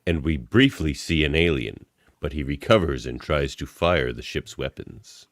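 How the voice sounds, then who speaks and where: A man's voice: extremely low pitch, expressive, normal speed, no room sound, studio quality. A man narrating a comic radio play, reading out scene directions as a podcast narrator.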